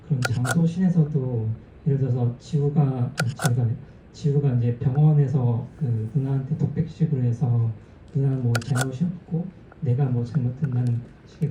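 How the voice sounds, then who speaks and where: A man's voice: mid-range voice, slight background noise. A man in the audience asking a long, hesitant question at a film screening. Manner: rambling, hesitant, with many restarts. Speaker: audience member. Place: cinema auditorium. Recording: thin, phone-like, echoey, distant.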